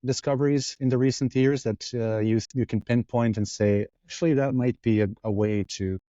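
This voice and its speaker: A man's voice: mid-range voice, steady tone, moderate pace, mellow, no room sound. A man hosting an interview show, friendly and enthusiastic as he asks his guest questions. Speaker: podcast host. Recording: dull lo-fi audio.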